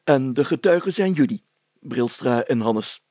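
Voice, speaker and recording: A man's voice: mid-range voice, expressive, a little slow, warm tone, no echo. A man voicing a pompous, officious and fussy village mayor in a storybook reading, speaking stiffly and formally with flustered hesitations. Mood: pompous, insistent.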